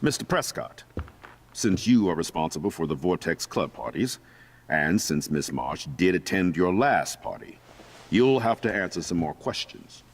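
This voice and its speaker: A man's voice: deep voice, very animated, moderate pace, exaggerated voice. A man playing a stern, formal school principal with a pompous manner in a video game drama. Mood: stern reprimand.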